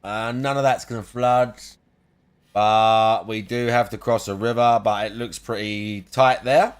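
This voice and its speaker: A man's voice: on the low side, fairly even intonation, unhurried, clean tone. A young man giving casual let's play commentary, chatty, sarcastic and profane. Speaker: gaming video host.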